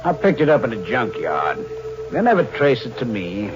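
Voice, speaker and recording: An actor playing a sly, needling, falsely friendly crook who schemes murder, in 1940s radio style. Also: male voice, mid-range voice, huge pitch swings, normal speed, music in the background. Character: sly, fat scheming crook plotting his uncle's murder. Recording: dull, hissy old radio recording.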